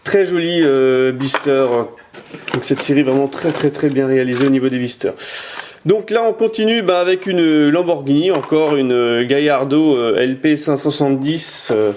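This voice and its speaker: A man at home chatting to a camera while he shows off toy cars, his talk halting and packed with fillers and repeated words. He turns each item toward the lens as he goes, sometimes drifting a little away from the mic. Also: mid-range voice, darkish tone, slight background noise. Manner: hesitant, many "euh" fillers and repeated words. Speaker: toy car collector reviewing his collection. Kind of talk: casual unboxing commentary.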